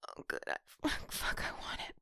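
A woman performing an intimate audio roleplay in a soft, breathy whisper, close to the microphone. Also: moderate pace, no echo.

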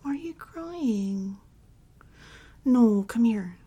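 Breathy, whispery woman acting a tender girlfriend. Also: a little high, lively intonation, full character voice. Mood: gentle concern. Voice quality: breathy, often dropping into a whisper. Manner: soft, soothing, slow and affectionate. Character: tender, devoted girlfriend and mommy dom comforting her partner. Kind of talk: intimate ASMR roleplay, comforting a listener.

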